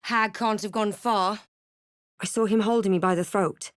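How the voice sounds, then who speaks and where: An actress voicing a young female video game adventurer, wry, wary and thoughtful, with measured, theatrical delivery. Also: mid-range voice, steady tone, no echo, studio quality, natural voice. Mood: wry, observant. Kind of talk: video game character voice lines.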